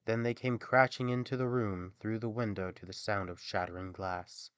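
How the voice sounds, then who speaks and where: A man narrating a scripted fairy tale as an expressive, theatrical bedtime storyteller. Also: on the low side, moderate pace, clean tone, no echo.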